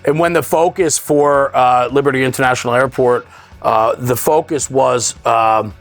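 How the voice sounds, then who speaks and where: A man's voice: mid-range voice, creaky. A man hosting a studio interview, explaining things in an enthusiastic way. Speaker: show host interviewing a guest. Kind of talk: studio interview about fire apparatus.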